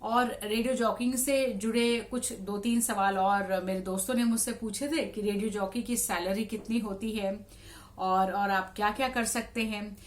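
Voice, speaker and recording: A woman's voice: medium pitch, steady tone, measured pace. A woman talking to viewers in an explanatory, conversational vlog style, restating points for emphasis. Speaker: radio jockey and vlogger.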